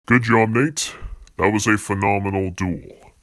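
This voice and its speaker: Man playing a gruff male duelist, deep low voice, dry sound, blunt, sardonic, menacing edge, amateur anime drama. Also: steady tone, measured pace, a bit bright, no room sound. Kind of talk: amateur anime fan-series voice acting.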